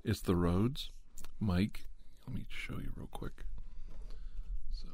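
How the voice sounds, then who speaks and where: A man who makes ASMR videos, talking casually to camera from home into a condenser studio mic, his voice worn down by a cold and his talk unhurried and meandering. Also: sits low, slight background noise. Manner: unhurried and meandering, soft at times. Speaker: ASMR channel creator and podcaster. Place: at home, indoors. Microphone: large condenser studio mic.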